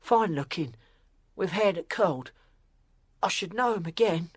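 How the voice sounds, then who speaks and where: A woman playing a frightened young apprentice boy, timid, halting and deferential, in a period audio drama. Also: fairly low pitch, expressive, breathy edge, no echo, studio quality. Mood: fearful.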